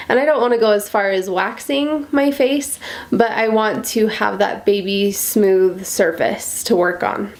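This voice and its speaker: An American woman with a stuffy, nasal voice from a cold, chatting casually and in a friendly, rambling way as she gives a beauty tutorial. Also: mid-range voice. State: congested from a cold. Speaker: beauty vlogger.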